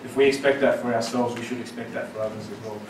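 A man's voice: medium pitch, steady tone, fast talker. A man in his thirties born in Syria, standing with a handheld mic as a panel speaker, talking conversationally with many fillers and then with growing force. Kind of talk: panel answer at a conference. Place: large lecture room, standing before the panel. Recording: roomy echo, distant camera, audible room noise.

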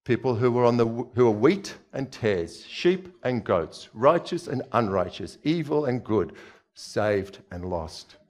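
A man's voice: medium pitch, big pitch swings, unhurried. A man in his forties, born in Czechoslovakia, preaching a conversational sermon from a church stage on a headset mic, asking the audience questions as he moves about. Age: 40s.

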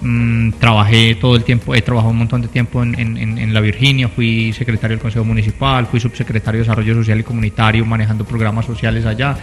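Male interview guest, thirties, Colombian accent, earnest, fairly flat intonation, studio reverb. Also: on the low side, fast talker, hardly any pauses, faint music behind. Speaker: interview guest, council candidate. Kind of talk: conversational interview answers. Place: small TV studio set. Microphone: handheld microphone.

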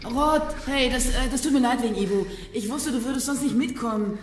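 An actress in a radio crime drama playing an uneasy young informant, casual and hesitant. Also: female voice, fairly high pitch, brisk, reverberant, big-room sound, a little hiss or hum, lightly acted. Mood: apologetic, uneasy. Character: uneasy young female informant, reluctant betrayer.

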